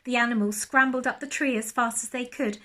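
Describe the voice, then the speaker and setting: A woman narrating a children's storybook like a primary school teacher, expressive and lingering on key words. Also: fairly high pitch, fairly quick.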